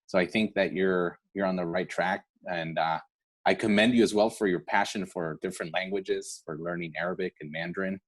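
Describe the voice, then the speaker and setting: A man's voice: low voice, fairly even intonation, a little fast. A man hosting an online awards event, speaking informally and from the heart in an earnest, encouraging way. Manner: earnest, encouraging, often seeking agreement with "right?". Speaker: event host for a scholarship foundation.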